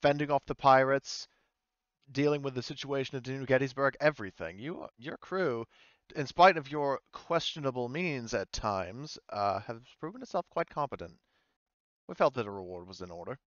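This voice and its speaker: A man playing a composed corporate woman executive in a tabletop game, formal and measured, outwardly pleasant but privately irritated. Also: medium pitch, animated, fairly bright tone, dry recording, lo-fi sound, lightly acted.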